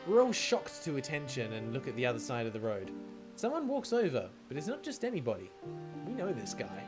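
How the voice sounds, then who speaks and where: Animated male game streamer reading aloud. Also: medium pitch, a little fast. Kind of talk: reading visual novel narration aloud with casual commentary. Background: music.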